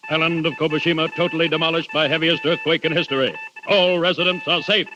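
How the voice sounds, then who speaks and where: Casting: male actor as urgent bulletin reader, raised pitch, clipped, echoing and noisy old radio sound. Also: steady tone, fast, muffled recording, close to an everyday voice. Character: formal news bulletin reader. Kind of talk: 1930s radio acting. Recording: noisy, reverberant vintage radio recording.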